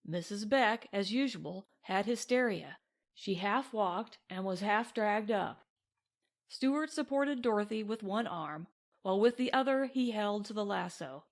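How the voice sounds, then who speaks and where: A woman's voice: mid-range voice, expressive, unhurried. A woman reading scripted narration from a western novel as an audiobook. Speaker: audiobook reader.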